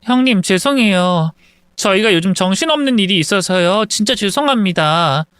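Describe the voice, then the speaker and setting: A man's voice: high voice, animated, normal speed, a bit bright, clear, resonant tone, no room sound, clean studio sound, pitched above the performer's own voice. A man voicing a newly married young woman who speaks politely and apologetically.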